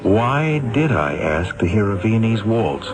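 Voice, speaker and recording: An actor playing a troubled, lonely scientist, hesitant and brooding, in a 1970s radio drama. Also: male voice, lowish voice, very animated, a little slow. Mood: searching, hesitant. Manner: hesitant, brooding, searching his memory. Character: troubled, lonely, self-doubting male scientist. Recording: vintage radio drama with music behind.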